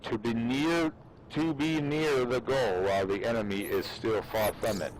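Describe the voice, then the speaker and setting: A man giving a solemn, deliberate dramatic reading of a military treatise, with pauses between phrases. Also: mid-range voice, steady tone, slight background noise, rough recording. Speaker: amateur reader performing for fellow gamers.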